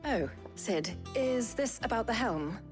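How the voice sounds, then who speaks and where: A woman's voice: a little high, lively intonation, measured pace, over music. An actress playing an earnest, bookish British engineer in a video game, precise and politely hesitant in her speech. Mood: eager, precise.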